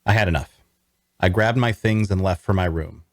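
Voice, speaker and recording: Low, bitter, sardonic fantasy narrator. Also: male voice, normal speed, dry recording, clean studio sound. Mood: bitter, grim. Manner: scornful, sardonic. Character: bitter, disillusioned male onlooker narrating his story. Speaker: actor at a table read. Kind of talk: first-person dramatic narration.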